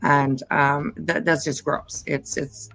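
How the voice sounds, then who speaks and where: A woman hosting her channel, chatting casually and haltingly, with many ums and restarts. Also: low voice, little pitch movement, vocal fry, a bit bright, faint music behind. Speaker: channel host. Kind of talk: casual commentary chat.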